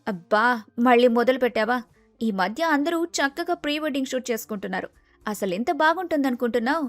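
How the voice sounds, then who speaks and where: An excitable, vain young bride-to-be played by a woman in a storybook story. Her voice is very high, insistent and impatient, recorded dry with soft music underneath. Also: fast, no room sound, close to an everyday voice. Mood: exasperated. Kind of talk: acted storybook dialogue.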